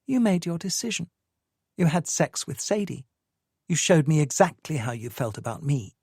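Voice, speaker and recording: A man's voice: a little high, very animated, moderate pace, darkish tone, slightly rough, no echo, pitched above the performer's own voice, full character voice. A cool, evasive woman speaks in a lifted, clipped voice, dismissive and cutting. A man reads her lines in an audiobook. The recording is dry and close. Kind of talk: audiobook character reading.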